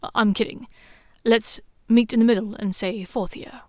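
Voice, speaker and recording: A woman voicing a playful, affectionate young man who teases in a low, intimate tone. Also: steady tone, moderate pace, no room sound, clean studio sound, close to an everyday voice. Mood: whispered. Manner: teasing, low and intimate, sometimes half-whispered.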